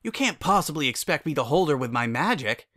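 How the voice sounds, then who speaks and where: A man's voice: fairly high pitch, very animated, fairly quick, bright, forceful tone, pitched above the performer's own voice. A man voicing a nervous, reluctant male unicorn pony with a hesitant, anxious manner in a story reading.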